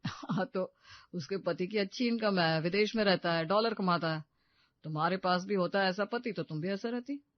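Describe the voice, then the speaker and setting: A woman's voice: medium pitch, moderate pace, dry recording, lo-fi sound, natural voice. A woman voicing a casual husband in a storybook tale, offhand and placating as he defends himself. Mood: admiring, teasing. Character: casual, defensive husband admiring the neighbour's glamorous wife.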